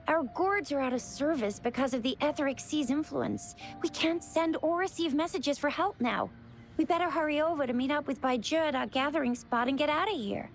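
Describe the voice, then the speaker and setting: High-pitched bubbly young patroller girl. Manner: excitable, chatty, informal. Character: bubbly, chatty, eager young female junior patroller. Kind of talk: video game character voice acting. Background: background game music.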